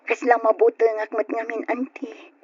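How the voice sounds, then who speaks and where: A plaintive, high-voiced young daughter. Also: female voice, little pitch movement, moderate pace, a little mellow, slightly rough, no echo. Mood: worried. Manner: plaintive, melodramatic. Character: frightened, fragile sick young woman, a loving daughter. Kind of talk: old-time Ilocano radio drama acting. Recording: dull, muffled old radio drama recording.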